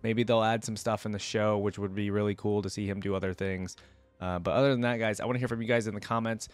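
A man's voice: fairly low pitch, fairly even intonation, fast, clean tone, no echo. Casual young man, dry close mic. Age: young adult. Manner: rambling, conversational. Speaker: reaction video host. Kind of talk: casual reaction commentary. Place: indoor gaming setup. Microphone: close desk microphone.

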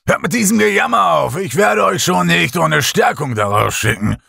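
A man's voice: a little high, lively intonation, moderate pace, gravelly, pushed and bright, slightly rough, dry recording, studio quality, faint music behind, pitched above the performer's own voice, full character voice. A man voicing a domineering bearded ship captain who bellows threats at his crew. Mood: bullying, boisterous.

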